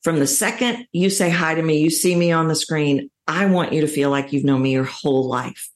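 Texan woman, middle-aged, low voice, voice coach chatting in an interview, makes points emphatically. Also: normal speed. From Texas, United States. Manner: emphatic, illustrates points with acted-out quotes. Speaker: voice coach, podcast guest. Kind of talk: conversational interview.

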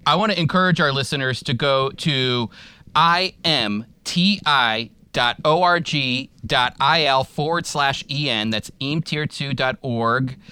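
A man's voice: mid-range voice, unhurried, pushed and bright, clear, resonant tone. A man hosting a radio interview, friendly and promotional as he closes the segment. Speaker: radio host. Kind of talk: host wrap-up.

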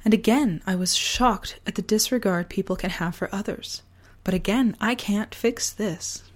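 A woman hosting a personal podcast, talking earnestly and deliberately, her voice partly gone from a sore throat. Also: medium pitch. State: losing voice from a sore throat virus. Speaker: podcast host. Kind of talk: personal podcast, reflective essay and casual chat.

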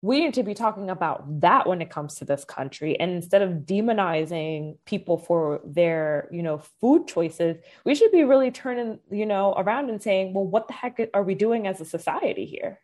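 A woman's voice: mid-range voice, lively intonation, moderate pace, hardly any pauses, darkish tone. Chatty woman, emphatic talker. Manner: chatty, emphatic, frequent fillers. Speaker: health content creator. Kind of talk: casual conversation.

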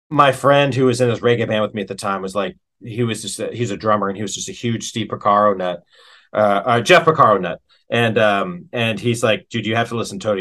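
A man's voice: fairly low pitch, fairly bright tone. A man speaking as an interview guest and musician, chatting informally in a rambling, anecdotal way. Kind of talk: casual anecdotal chat.

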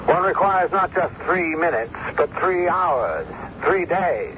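A man's voice: fairly high pitch, lively intonation, slow. A man playing a pompous theatre critic delivering a precise, withering broadcast review in a radio drama. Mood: scornful, grandiose. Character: pompous, scathing male theatre critic on air. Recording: dull, faintly noisy radio recording.

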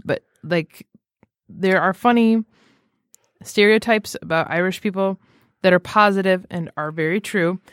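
Female podcast host, lower-leaning voice, conversational anecdotes with acted-out quotes, very dry sound. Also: dry recording. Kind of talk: casual chat and anecdotes.

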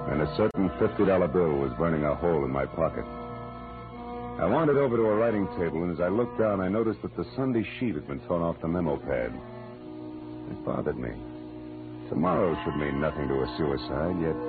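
An actor playing a hard-boiled American private detective in an old radio drama, terse, dry and wry. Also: male voice, low voice. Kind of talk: 1940s radio drama acting with first-person narration. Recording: muffled old-time radio recording with music.

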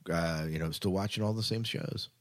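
A man's voice: low voice, moderate pace. A man co-hosting a podcast in casual chat, rambling along informally and with enthusiasm. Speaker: podcast co-host.

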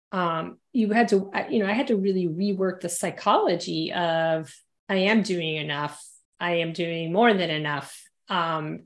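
Guest: woman, gravelly and fairly low voice, rambling with many fillers. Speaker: podcast guest. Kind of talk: conversational interview.

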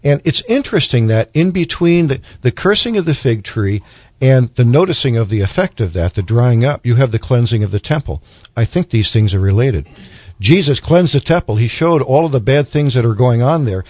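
A man with a medium-pitched voice explains scripture in a panel discussion. He is emphatic, setting up points with rhetorical questions. The radio audio is dry and a little dull. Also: animated, a little fast, no room sound.

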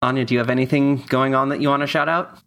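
A man's voice: medium pitch, fairly even intonation, fast, fairly bright tone. A man co-hosting a podcast, chatting casually and reading out announcements. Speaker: podcast co-host.

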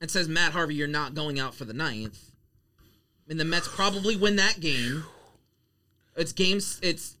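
A man's voice: fairly high pitch, measured pace, pauses often, slight background noise. A man in a casual sports debate, talking emphatically and repeating himself, with swearing. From Queens, New York. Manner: animated, emphatic, repetitive, profane. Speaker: sports podcast co-host.

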